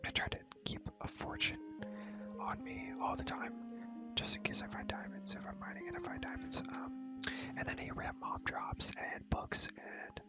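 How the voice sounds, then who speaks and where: A whispering ASMR creator giving a casual, slangy gaming tour, often repeating words and phrases in a rhythmic way. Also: normal speed. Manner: slangy, casual, mild swearing. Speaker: video creator giving a game world tour. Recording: music behind the whispering. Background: music.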